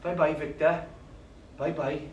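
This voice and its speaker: A man voicing a cold woman who is leaving her partner, in a flat, dismissive way. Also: a little high, a little slow, dull audio, slight background noise, close to an everyday voice. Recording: echoey room, distant mic, music behind.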